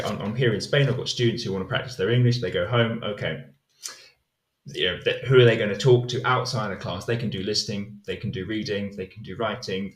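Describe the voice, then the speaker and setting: A man's voice: lowish voice, reverberant. A man, an English teacher, talking casually and reflectively in a podcast interview, with plenty of fillers and restarts. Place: lives in Spain.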